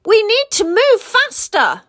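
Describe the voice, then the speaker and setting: A woman's voice: very high-pitched, huge pitch swings, takes it slowly, a bit bright, no room sound, clean studio sound, voice pushed up, heavily acted. A woman voicing a nervous young male penguin captain in a children's storybook, with grumbling, spluttering exclamations. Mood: panicked.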